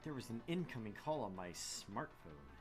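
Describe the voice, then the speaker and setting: Low-voiced man hosting a gaming read-along. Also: big pitch swings, normal speed, faint music behind. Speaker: let's play host. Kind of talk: gaming chat and first-person story read-aloud.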